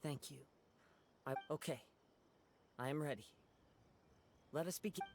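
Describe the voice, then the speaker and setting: A performer playing a gentle, thoughtful young male wandering samurai in a video game, courteous and soft-spoken. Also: medium pitch, unhurried, noisy background, close to an everyday voice. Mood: resolved. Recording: loud music under the voice.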